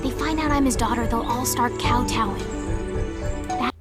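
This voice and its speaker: Actress doing touchy proud young game girl, kinda high voice, defensive, music behind. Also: normal speed, close to an everyday voice. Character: proud, touchy young woman, Orin's secret daughter.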